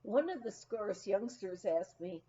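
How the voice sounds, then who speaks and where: A woman reading a humorous memoir aloud as scripted narration, in an expressive, storytelling manner. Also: medium pitch, muffled recording. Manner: expressive, unhurried storytelling with acted quotes. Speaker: audiobook reader.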